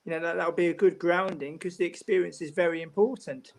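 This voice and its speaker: A man speaking as a guest pundit in a casual football discussion, measured and explanatory, often repeating words as he thinks. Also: a little high, steady tone, gravelly, crowd noise.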